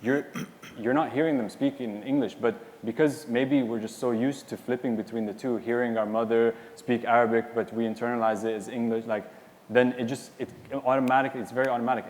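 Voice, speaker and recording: A man's voice: medium pitch, fairly even intonation, fairly quick, darkish tone. A man on a symposium panel giving a loose, rambling answer full of "you know" and "like". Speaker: panelist and publisher. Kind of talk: casual spoken answer on a panel. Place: hall at a symposium. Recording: long reverb in a hall, faint noise.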